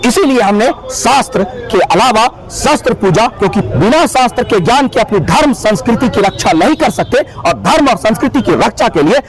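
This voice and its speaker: High-pitched man explaining earnestly. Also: expressive, fairly quick, few pauses, rough recording. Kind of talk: interview soundbite.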